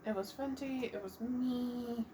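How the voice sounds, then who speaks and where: A woman's voice: fairly high pitch, fairly even intonation, measured pace, background noise. A woman giving casual let's play commentary, thinking aloud in a hesitant way.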